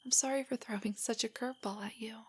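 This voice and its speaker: A woman playing a nervous, nerdy young girlfriend in an intimate roleplay audio drama, soft and whispery, with hesitant, stammering speech. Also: fairly high pitch, fairly even intonation, quick pace. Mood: tender, happy. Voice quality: soft, breathy, often whispery. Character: shy, nervous, nerdy young girlfriend, self-doubting and affectionate.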